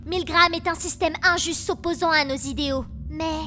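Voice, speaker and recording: A woman's voice: very high voice, steady tone, moderate pace, pushed and bright. A woman voicing a devout, righteous twelve-year-old girl prisoner, prim and formal, in a French anime fan dub. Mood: cold, threatening. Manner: crisp, formal, self-righteous.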